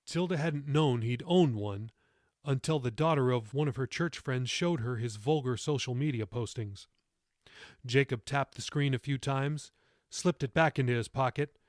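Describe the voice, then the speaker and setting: A man's voice: medium pitch, no echo, studio quality. A man reading his own novel aloud as audiobook narration, with dramatic storytelling delivery.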